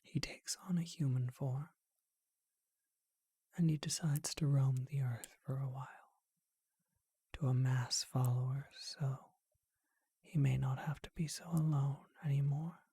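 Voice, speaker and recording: A performer voicing a charming vampire who tells an old tale in a gentle, breathy ASMR whisper, unhurried and reflective. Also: mid-range voice, fairly even intonation, no room sound, clean studio sound. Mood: hushed storytelling.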